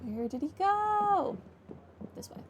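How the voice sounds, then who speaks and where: A woman's voice: very high voice, expressive, slow pace, muffled recording, slight background noise. A woman giving casual commentary on a game playthrough, wryly thinking aloud. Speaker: gaming streamer. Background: game music.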